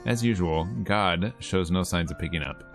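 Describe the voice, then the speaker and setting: A man's voice: sits low, normal speed, soft background music. A man streaming a visual novel, reading the narration aloud and adding casual commentary.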